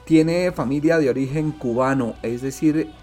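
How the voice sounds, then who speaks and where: A man's voice: fairly high pitch, moderate pace, a little mellow, faint music behind. A man with a Colombian accent interviewing a guest on radio, asking long questions and correcting himself mid-sentence. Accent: Colombian Spanish.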